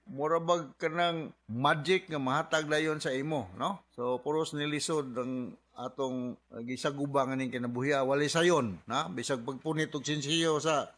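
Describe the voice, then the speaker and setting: A man with a Cebuano accent who hosts a radio advice program as a doctor, speaking in a measured, lecturing way. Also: fairly high pitch, a bit bright. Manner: measured, lecturing, code-switching.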